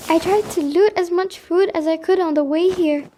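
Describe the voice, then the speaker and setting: Woman acting little sister in wartime, extremely high voice, dutiful, resourceful, radio drama. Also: steady tone, moderate pace, mellow, a little hiss or hum. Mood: eager, concerned. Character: dutiful, resourceful young sister fleeing war.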